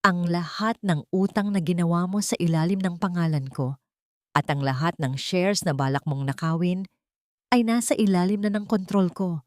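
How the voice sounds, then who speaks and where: Woman playing a cold, composed wronged wife, low voice. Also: moderate pace, dry recording, clean studio sound, lightly acted. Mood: cold triumph. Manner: cold, steady, declarative. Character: cold, composed wronged wife taking back her company.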